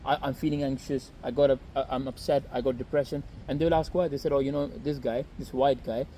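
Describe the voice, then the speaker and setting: A man's voice: medium pitch, steady tone, fast, warm tone, a little hiss or hum, low-quality recording. A young man from Pakistan, raised in Saudi Arabia, telling his story in an earnest, rambling way with many fillers and restarts, outdoors on a city street. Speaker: interview guest. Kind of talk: casual outdoor interview.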